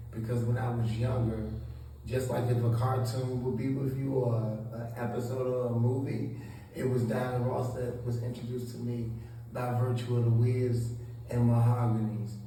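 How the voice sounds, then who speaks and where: Earnest man, dark echoey far-off sound. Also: mid-range voice, little pitch movement, moderate pace. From Southeast Washington, D.C. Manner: earnest, repeats words while searching for them. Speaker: interview guest. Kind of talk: sit-down interview answers. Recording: echoey room, voice a bit off-mic, faint noise.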